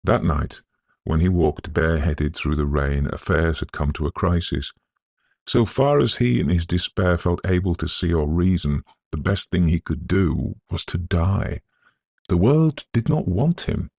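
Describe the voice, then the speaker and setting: Very deep, husky male narrator. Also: lively intonation, darkish tone, no echo. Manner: scholarly, measured, atmospheric delivery. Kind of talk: scripted narration of a Victorian ghost story.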